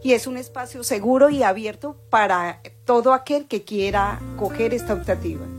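A woman guest on a panel, explaining clearly and firmly. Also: fairly high pitch, moderate pace, faint music behind.

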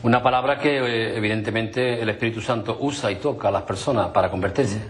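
A man with a moderately low voice hosts a religious conversation. He speaks in a hesitant, affirming way, breaking up his thoughts with fillers and short tag questions. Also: fast. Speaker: interviewer, host.

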